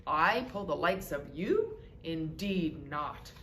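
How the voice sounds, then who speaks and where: A woman's voice: deep voice, expressive, takes it slowly, a bit bright, full character voice. A woman voicing a proud, snooty male passenger engine in a storybook, haughty and dismissive. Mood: scornful. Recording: roomy echo with background noise.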